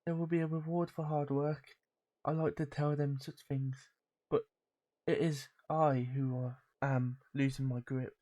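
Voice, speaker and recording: A woman voicing a weary, suffering diary writer losing her grip, reading game text in a hushed, halting way. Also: sits low, flat delivery. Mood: weary, despairing. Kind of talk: dramatic read-aloud of game text.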